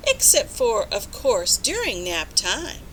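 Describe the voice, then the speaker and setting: Woman voicing an eager, high-pitched little bird teacher. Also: wildly swooping pitch, slow pace, a bit bright, slight background noise, exaggerated voice, low-quality recording. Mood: insistent. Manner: exclaiming, chirpy, declamatory. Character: eager little yellow bird teacher, female, cheerfully insistent. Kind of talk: children's storybook read-aloud.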